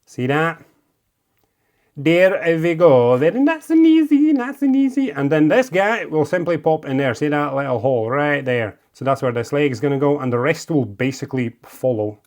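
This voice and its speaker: A man's voice: fairly high pitch, very animated, moderate pace, a little mellow. A man from Latvia with a Latvian accent, chatty and encouraging as he talks through a model build step by step. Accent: Latvian, living in Scotland. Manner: chatty and encouraging, coaxing phrases. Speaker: model-building video host. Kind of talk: casual hands-on build tutorial.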